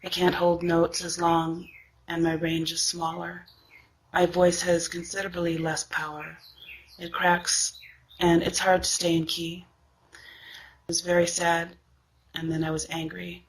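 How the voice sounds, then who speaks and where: A woman who sings, speaking in a reflective personal monologue with a weak, raspy voice and a thoughtful, questioning manner. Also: lowish voice, completely flat delivery, a little slow, long pauses, slight background noise. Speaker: singer.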